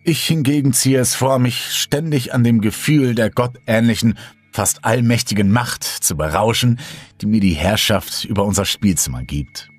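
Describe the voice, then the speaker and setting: A man's voice: medium pitch, moderate pace, dry recording, faint music behind, pitched above the performer's own voice, natural voice. A man voicing a teasing cannibal killer woman. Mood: boastful. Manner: teasing, coolly condescending.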